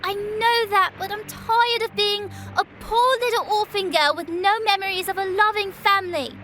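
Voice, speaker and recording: A woman's voice: very high voice, fairly even intonation, moderate pace, bright, forceful tone, soft background music. A woman playing a young orphan girl, stubborn and emotional, in an audio drama. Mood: hurt, frustrated. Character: young orphan girl, wistful, stubborn, fiery little sister.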